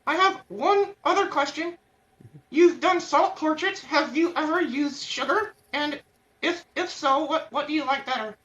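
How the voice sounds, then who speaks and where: A woman's voice: high-pitched, a little slow, fairly bright tone. A woman hosting a casual live-stream interview, enthusiastic and slangy. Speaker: show host. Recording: muffled lo-fi audio.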